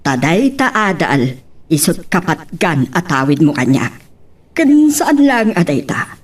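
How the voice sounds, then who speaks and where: A woman's voice: lowish voice, very animated, slow, slightly rough, heavily acted. An actress playing a protective mother who gives firm, admonishing advice to her daughter in an audio drama. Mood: firm concern. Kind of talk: Ilocano radio-style drama acting.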